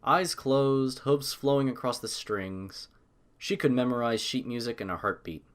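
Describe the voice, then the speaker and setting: A man's voice: mid-range voice, a little slow. A man narrating a story as a scripted audiobook.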